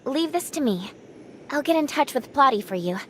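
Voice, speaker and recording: A gentle, self-assured angel from an anime game. She speaks calmly and reassuringly in a slightly high voice, with faint noise behind. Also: normal speed. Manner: calm, reassuring.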